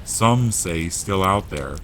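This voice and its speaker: A man playing a gruff, kindly, grieving and protective giant with folksy, rough grammar in an audiobook drama. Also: low voice, expressive, slow pace, a bit bright, slight background noise, heavily acted. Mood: musing.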